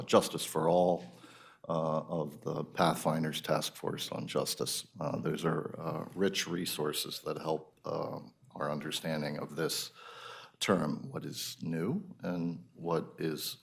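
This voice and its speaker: A man moderates a panel in a very low voice with a lot of gravel to it. He speaks haltingly and leans on fillers between phrases. He introduces speakers and poses questions to them. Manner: hesitant, many uh fillers, halting.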